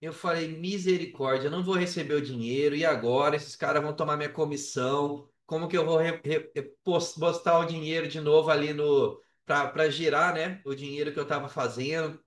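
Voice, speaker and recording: A man's voice: a little high, steady tone, a little fast, hardly any pauses, recorded from a distance. A man with a Brazilian accent telling a story casually and animatedly in slangy speech, acting out bits of dialogue. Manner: colloquial, slangy, re-enacts dialogue. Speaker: podcast guest, affiliate marketer. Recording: roomy, echoey, off the mic.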